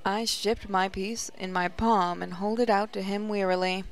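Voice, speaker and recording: A woman's voice: medium pitch, fairly even intonation, moderate pace. A woman reading visual novel narration aloud in a reflective, storytelling way. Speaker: gaming channel host, reader.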